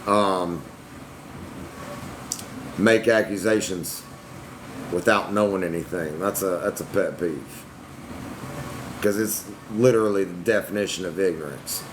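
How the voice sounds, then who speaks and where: A young man with a somewhat low voice, answering his livestream chat bluntly and defensively. A steady air-conditioning hum runs under everything he says. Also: unhurried, long pauses. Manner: blunt, defensive, Southern-tinged slang. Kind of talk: casual livestream talk to chat.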